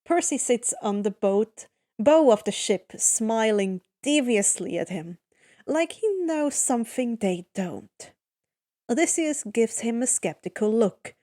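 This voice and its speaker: A woman's voice: on the high side, animated, measured pace, dark tone. A woman reading fan fiction aloud as a dramatic story narration.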